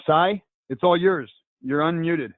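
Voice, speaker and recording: A man hosting a video call, giving brisk and direct instructions. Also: fairly high pitch, dry recording. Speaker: call host. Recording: dry tinny phone-like line.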